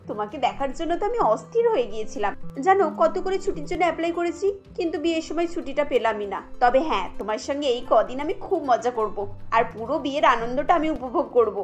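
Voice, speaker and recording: A woman voicing a kind, outspoken elder sister-in-law in a cartoon, assertive and reasoning. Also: high-pitched. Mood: friendly, eager. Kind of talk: Bengali cartoon story acting.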